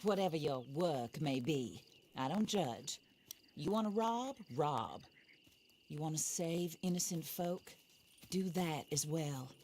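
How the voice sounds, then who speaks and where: A genteel frontier widow, with a very low voice and a composed, commanding way of speaking. She talks formally and deliberately, like someone bent on revenge in a Western game. Faint night insects sit behind her. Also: animated, a little mellow, slight background noise. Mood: steely. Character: vengeful, genteel, commanding widow of the Old West. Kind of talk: game cutscene acting.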